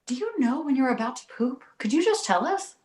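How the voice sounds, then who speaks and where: A woman playing a skeptical, exasperated young mother in an animated comedy table read, with a dry manner. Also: sits high, a little fast. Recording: roomy echo.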